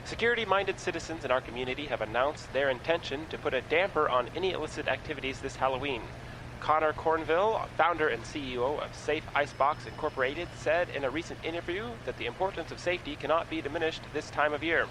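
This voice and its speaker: An actor playing a dry small-town radio host reading weather and news in a comedy radio play. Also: male voice, a little high, fast, background noise, close to an everyday voice. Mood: deadpan. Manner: deadpan, dry.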